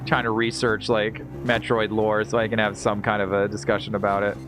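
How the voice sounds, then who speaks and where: A man's voice: on the low side, monotone, brisk. A man chatting casually as he hosts a game livestream, rambling and stammering with repeated words and slangy, salty language. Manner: informal, profane and slangy. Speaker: livestream host playing a video game. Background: game music.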